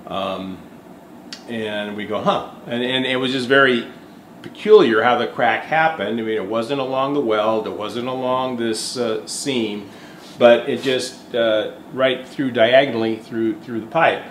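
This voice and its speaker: Man in his fifties, shop owner, candid and halting, workshop echo. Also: mid-range voice. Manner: candid, thinking aloud with fillers and restarts. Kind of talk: casual on-camera explanation. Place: indoor workshop beside a welding machine. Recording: echoey workshop, faint background hum.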